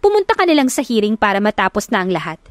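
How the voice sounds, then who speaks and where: A woman's voice: medium pitch, very animated, fast talker, no echo, studio quality, natural voice. A woman voicing a wronged wife, resolute and emotional, in an audiobook romance drama. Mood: firm, angry. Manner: firm, emotional.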